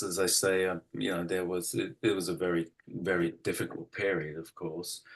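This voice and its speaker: A middle-aged man with an English accent, telling his own story reflectively in a halting, thoughtful way, often circling back to rephrase. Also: low-pitched. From United Kingdom. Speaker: interview guest, writer. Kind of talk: reflective personal storytelling in conversation.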